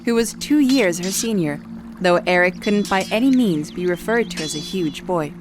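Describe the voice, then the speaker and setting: A woman's voice: on the low side, expressive, normal speed, background noise. A woman reading a scripted story as the narrator of an audio drama. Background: music.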